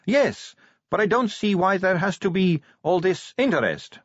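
A man's voice: high voice, animated, a little slow, no room sound, muffled recording, voice pushed up. A man voicing a young, boyish female vampire who is polite, earnest and forthright, in an audiobook story.

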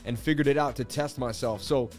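A man's voice: mid-range voice, fairly quick, darkish tone, faint music behind. A man hosting a crypto livestream, losing his voice, talking in hyped, boastful banter with his viewers. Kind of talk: casual livestream market commentary.

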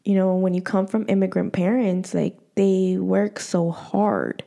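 A woman's voice: medium pitch, a little slow, creaky, mellow. A woman hosting a podcast in casual chat, talking loosely with lots of fillers and restarts. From United States. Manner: chatty, many fillers and restarts. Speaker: podcast host.

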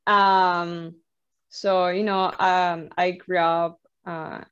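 A woman's voice: lowish voice, clear, resonant tone, muffled recording. A young Spanish-speaking woman from Cuba with a Cuban accent, talking casually as an interview guest. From Havana, Cuba.

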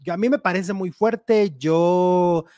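A man co-hosting a casual gossip show, animated and chatty as he reads out and comments on news items. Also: sits high, slow, dry recording.

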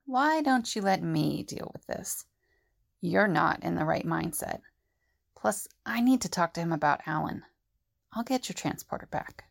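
Earnest woman team leader with creaky voice, acted. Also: lowish voice, lively intonation, unhurried, exaggerated voice. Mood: firm. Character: earnest, level-headed woman leading a team. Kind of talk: audio drama acting.

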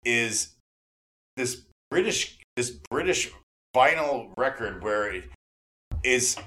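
A man co-hosts a podcast interview in loose, excited conversation. He gushes openly as a fan, talks in slang and circles back over his own phrases. His pitch sits in an ordinary middle range for a man. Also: takes it slowly. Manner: gushing, effusive fan enthusiasm; slangy, repeats himself. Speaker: podcast host interviewing a guest. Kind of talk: excited casual chat.

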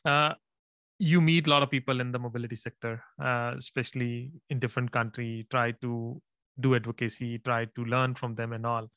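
A man's voice: medium pitch, level tone, fairly quick, fairly bright tone, dry recording. A man hosting an interview in a friendly way, often repeating words and using non-native phrasing. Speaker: interview host. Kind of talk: interview conversation.

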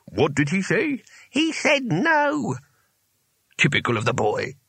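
A man's voice: high voice, huge pitch swings, gravelly, a bit bright, slightly rough, no echo, voice pushed up, exaggerated voice. A man voicing a haughty, pompous old male wizard schoolmaster in an audiobook story. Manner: haughty, halting when embarrassed.

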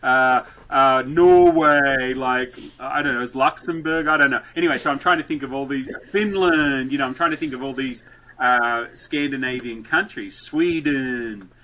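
A man guesting on a podcast, telling stories and dad jokes loudly in a rambling, lively way. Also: mid-range voice, moderate pace. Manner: loud, joke-telling, rambling. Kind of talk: lively conversational storytelling.

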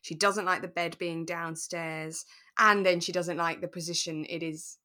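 A woman's voice: fairly low pitch, fairly even intonation, normal speed, pushed and bright. A woman co-hosting a podcast, chatting casually and sympathetically about a radio drama in a reflective way. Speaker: podcast co-host.

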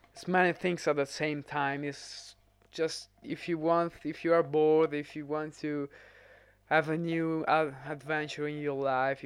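Young guy on a podcast, smooth clear voice, earnest, keeps listing reasons. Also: on the high side. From Italy. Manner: earnest, piles up examples. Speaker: podcast guest. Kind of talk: casual podcast chat.

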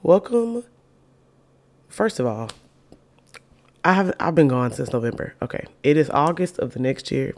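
A woman hosting her own podcast in a casual solo chat, her naturally deep voice made even deeper by covid, talking in a chatty, playful, slangy, self-hyping way. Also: a little slow, long pauses. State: sick with covid, voice extra deep. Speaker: podcast host.

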